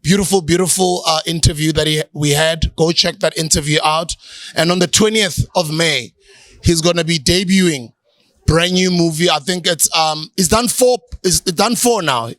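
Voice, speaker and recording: A man co-hosting a talk show, closing with relaxed, slightly hesitant promotional plugs. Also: on the high side, moderate pace, no echo. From South Africa. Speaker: podcast co-host. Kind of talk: casual chat, closing plugs.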